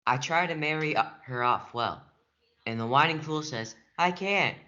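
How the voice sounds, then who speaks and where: A man's voice: fairly high pitch, lively intonation, normal speed, bright, forceful tone, lo-fi sound. A young man playing a domineering, hot-tempered noble father in a stage-play reading. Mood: angry.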